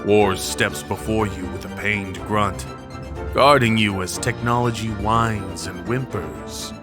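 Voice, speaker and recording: A man narrating a scripted dramatic story in the second person, with a measured, solemn manner. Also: on the low side, steady tone. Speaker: narrator and voice performer. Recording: prominent music under the voice. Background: dramatic background music.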